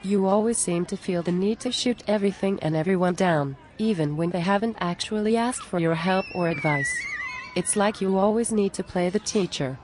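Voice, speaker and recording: A woman's voice: mid-range voice, moderate pace, a little mellow, a little hiss or hum, lightly acted. A woman playing a down-to-earth, exasperated friend in a scripted cartoon dialogue, plain-spoken and conversational. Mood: frustrated, earnest.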